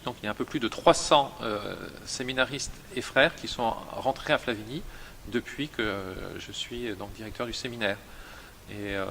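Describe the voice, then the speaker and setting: A man who directs a seminary, explaining its history and numbers in a measured, hesitant way with many fillers. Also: mid-range voice, pushed and bright, a little hiss or hum. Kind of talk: explanatory interview.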